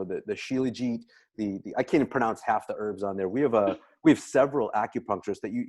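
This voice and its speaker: A man's voice: fairly low pitch, darkish tone, no echo. A man speaking casually and emphatically as he promotes a product, repeating phrases to drive points home.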